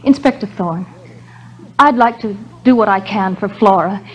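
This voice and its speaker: An actress playing an earnest, tender-hearted and timid young niece in a 1950s detective drama, speaking hesitantly. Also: female voice, medium pitch, moderate pace, slightly rough, close to an everyday voice, rough recording. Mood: distressed, pleading.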